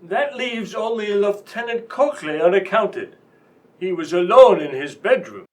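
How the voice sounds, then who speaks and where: An actor playing a befuddled, blustering police inspector in a comic radio mystery. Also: male voice, high voice, very animated, measured pace. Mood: official. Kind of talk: old-time comic radio mystery acting.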